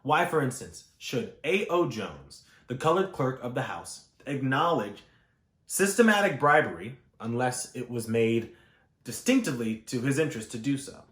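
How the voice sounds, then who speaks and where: A man's voice: medium pitch, very animated, moderate pace, a bit bright. Man reading history aloud, echoey room. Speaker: audiobook reader. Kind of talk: read-aloud of scholarly history text.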